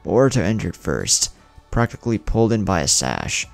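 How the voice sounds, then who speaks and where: Deep gravelly male storyteller, lively delivery. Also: moderate pace, soft background music. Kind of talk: scripted narration of a fan fiction story.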